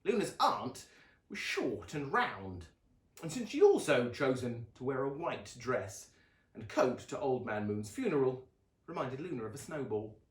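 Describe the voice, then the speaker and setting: A man reading children's fiction aloud as a dramatic, expressive narrator with a deliberate delivery. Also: medium pitch, echoey room.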